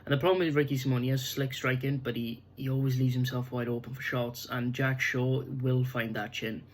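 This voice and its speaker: A young man with a Welsh accent chats loosely through his fight picks, stumbling over names and restarting his sentences. His voice sits mid-pitch and moves very little in tone, with a flat, level delivery throughout. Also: a little fast. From Wales. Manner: rambling, frequent fillers and restarts, candid. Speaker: MMA betting tipster. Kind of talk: casual fight predictions to camera.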